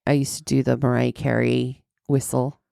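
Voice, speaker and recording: A middle-aged woman hosting a casual, joking podcast chat, her voice deepened and congested by a sinus infection, with vocal fry. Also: level tone, moderate pace, mellow, no room sound, studio quality. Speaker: podcast host.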